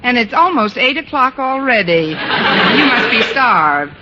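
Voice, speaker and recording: A wry schoolteacher, noisy old radio. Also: female voice, fairly high pitch, lively intonation, unhurried, lo-fi sound. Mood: coaxing, wry. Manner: dry, sardonic wisecracking. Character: wry, scheming, lovestruck adult woman schoolteacher. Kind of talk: 1940s radio sitcom acting.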